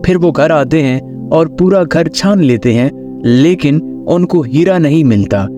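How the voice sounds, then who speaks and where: A man narrates a children's cartoon story in a scripted storyteller style. His voice sits a little high, and clear music plays underneath. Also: expressive, unhurried.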